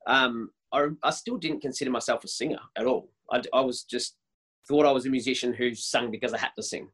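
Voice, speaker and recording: A man in his thirties with a higher voice, a worship leader talking casually and eagerly in an interview, often restarting his sentences. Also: fairly even intonation, fairly quick, fairly bright tone, husky. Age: 30s. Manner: enthusiastic, many restarts and repeated words.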